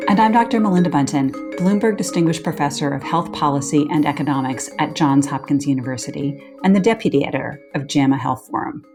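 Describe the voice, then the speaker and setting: A woman's voice: sits low, quick pace, hardly any pauses, a little mellow, faint music behind. A woman co-hosting a podcast as a deputy editor, introducing and interviewing a guest. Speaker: co-host, deputy editor and professor.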